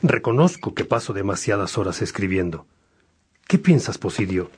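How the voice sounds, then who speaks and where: A man playing an earnest, reflective bishop in a religious radio drama, speaking in a measured, pastoral way. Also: lowish voice, sing-song, darkish tone, husky, exaggerated voice. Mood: troubled, earnest. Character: Saint Augustine, earnest reflective bishop among friends.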